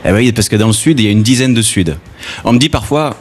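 A man from the Gard with a southern French accent, delivering a humorous radio column with folksy, deadpan asides. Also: medium pitch, quick pace, a little hiss or hum. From Gard, southern France. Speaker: comedian doing a radio column.

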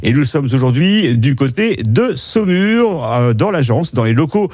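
A man's voice: a little high, big pitch swings, measured pace, darkish tone, no echo. Male radio interviewer, dry close sound. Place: interview on site in an office.

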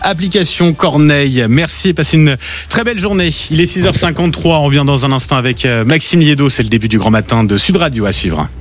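A man hosting a morning radio show, interviewing a guest in a friendly, brisk way and reading time checks. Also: on the high side, animated. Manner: friendly, brisk, wraps up with announcements. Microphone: studio microphone.

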